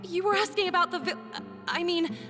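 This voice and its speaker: A nervous young villager in a game, with an extremely high voice. She is hesitant, polite and guarded, heard in long reverb over music. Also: a little fast. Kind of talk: video game voice acting.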